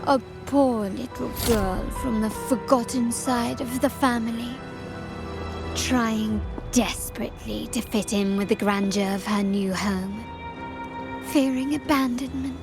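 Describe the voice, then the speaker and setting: A silky game villainess with a fairly high voice. She speaks slowly and deliberately, with theatrical mockery in her words. Game music plays prominently behind her. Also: steady tone. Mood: mocking. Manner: mocking, theatrical, slow and deliberate. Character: taunting, silky villainess born from a heroine's consciousness. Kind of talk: video game voice acting.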